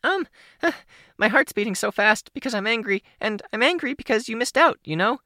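A chirpy, talkative young teen girl spills her words out in quick rushing bursts. Her voice leaps high and moves a lot. The audiobook sound is dry and close. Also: expressive, bright, forceful tone, no echo. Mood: angry. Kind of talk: audiobook character voice.

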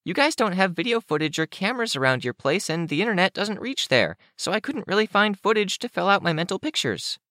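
Man voicing an eager, high-pitched young girl. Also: sing-song, brisk, few pauses, sharp tone, no echo, studio quality, heavily acted. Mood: eager, wistful. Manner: gushing, rambling.

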